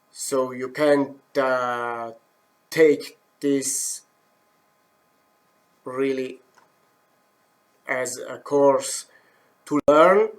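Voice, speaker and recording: A middle-aged man from German-speaking Switzerland with a Swiss German accent, a professional wood turner hosting a live-stream demo from his workshop in English, his third language, with many fillers and self-corrections. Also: mid-range voice.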